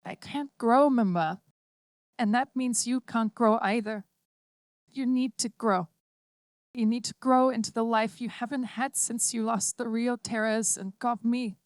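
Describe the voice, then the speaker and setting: A woman voicing a six-year-old android girl who speaks gently and earnestly, as an audiobook reader puts her on. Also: fairly high pitch, steady tone, measured pace, no echo, studio quality, pitched above the performer's own voice, lightly acted. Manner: gentle, pleading.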